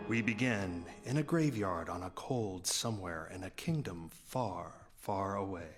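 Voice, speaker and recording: A man's voice: low-pitched, animated, measured pace, loud music behind the voice. A young man reading a scripted, theatrical radio show introduction as announcer. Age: young adult.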